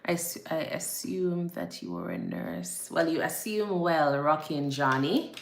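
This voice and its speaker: A woman from Jamaica with a low voice chats casually on a livestream, greeting viewers by name and repeating herself often. She is a nurse. Her voice carries the echo of a room. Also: expressive, a little slow, vocal fry. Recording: echoey room.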